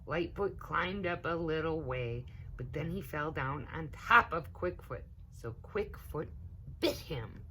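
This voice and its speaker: Woman, low voice, animated storybook reading, slow pace, emphatic, for young children, faint background noise. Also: poor audio. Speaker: story time reader, teaching assistant.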